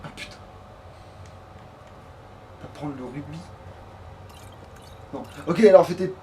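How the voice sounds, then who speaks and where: A man's voice: a little high, takes it slowly, dark tone, far from the mic. A man giving casual, grumbling, sweary commentary while playing a video game. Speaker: let's player. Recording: clear background noise, game ambience.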